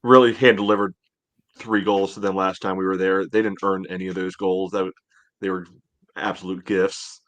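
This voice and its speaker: A man's voice: low voice, little pitch movement, normal speed, fairly bright tone. A man on a sports podcast panel, giving blunt, slangy opinions in casual banter.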